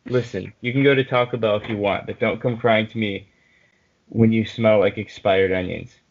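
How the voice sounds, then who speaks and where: A man reading the part of a grumpy, mocking old great-uncle from a cartoon fanfic, gruff and dismissive. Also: fairly low pitch, fairly even intonation, normal speed, lightly acted. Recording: muffled lo-fi mic with noise.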